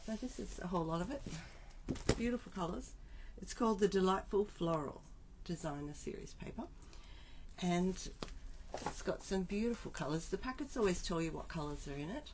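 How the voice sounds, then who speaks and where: A woman with a scratchy voice from a cold, chatting casually while she demonstrates card making on a live stream. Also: fairly low pitch. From Australia. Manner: chatty, asides to herself while setting up. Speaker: craft demonstrator.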